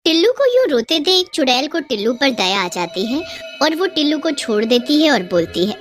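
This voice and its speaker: A woman narrates a scripted story in a fairly high voice. She works as the storyteller, with light music playing behind her. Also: big pitch swings.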